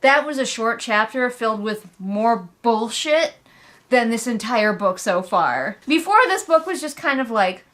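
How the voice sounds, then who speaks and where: A woman with a fairly high voice hosts a casual readalong vlog. She comments with comic exasperation, leaning hard on her words and keeping up a sarcastic edge. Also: lively intonation, a little slow, a bit bright. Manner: acts out characters in mocking asides. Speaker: host of a book readalong vlog. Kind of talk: casual comedic commentary on a book.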